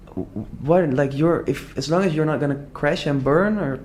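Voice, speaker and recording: A man's voice: a little high, moderate pace, a little mellow, a little hiss or hum. Earnest young Dutch man, chatty. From Netherlands. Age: young adult. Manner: earnest, rambling, many "like" fillers and restarts. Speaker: entrepreneur guest. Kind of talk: casual conversational interview.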